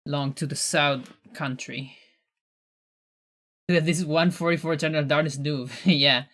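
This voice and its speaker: A man's voice: fairly high pitch, unhurried. A man from Chile, a native Spanish speaker with a mixed American-sounding accent, chatting casually and chuckling while he streams a speedrun. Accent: mixed American with Chilean undertone. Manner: relaxed, chuckling, trails off into murmurs. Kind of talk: casual live-stream chat while playing.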